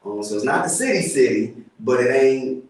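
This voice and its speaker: A man's voice: medium pitch, lively intonation, moderate pace. A man with a DC area accent, a rapper guest talking casually, laid-back and often saying "you know what I'm saying". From Washington DC area. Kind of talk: casual interview chat. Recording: roomy, mic at a distance.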